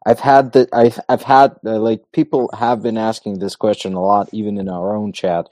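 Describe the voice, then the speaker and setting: A man from North Macedonia, a non-native English speaker with an American-sounding accent, explaining things in a measured way as a guest on a talk show. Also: on the low side, little pitch movement, darkish tone, dry recording. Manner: measured, explanatory, thinks aloud. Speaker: guest, politician. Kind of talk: casual interview talk.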